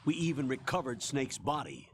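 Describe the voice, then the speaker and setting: An actor playing a stern, sceptical colonel with a measured, authoritative manner in a video game cutscene. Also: male voice, mid-range voice, animated, a little hiss or hum, close to an everyday voice. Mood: sceptical, grave.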